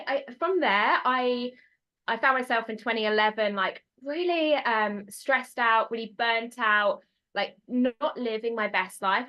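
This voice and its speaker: A British woman in her thirties, a coach and podcast guest, talking in a bubbly, quick way with many fillers. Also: a little high, pushed and bright. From United Kingdom. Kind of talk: casual podcast interview.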